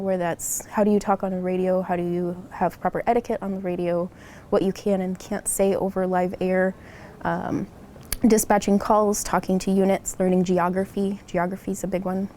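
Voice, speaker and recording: An American woman talks earnestly in an interview style, explaining her work as an emergency dispatcher. Her delivery is very even, with a creaky edge and a soft, mellow tone. A faint low rumble sits behind her voice. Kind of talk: interview testimonial about own job.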